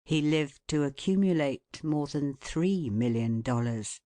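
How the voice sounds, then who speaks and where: An audiobook narrator reading scripted prose in a measured, formal and deliberate way. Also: medium pitch, lively intonation, mellow, no room sound, studio quality.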